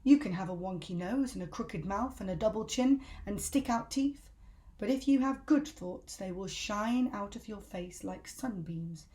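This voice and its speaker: Woman reading a kids book out loud, medium pitch, really expressive and emphatic. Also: moderate pace. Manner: dramatic, emphatic delivery. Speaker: storyteller reading a children's audiobook. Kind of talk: expressive read-aloud children's storytelling.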